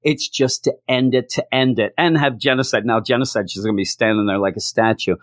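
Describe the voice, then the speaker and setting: A hoarse man reviewing a comic book, ranting in exasperation and repeating his complaints for emphasis. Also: mid-range voice, fairly even intonation, brisk, no echo, clean studio sound.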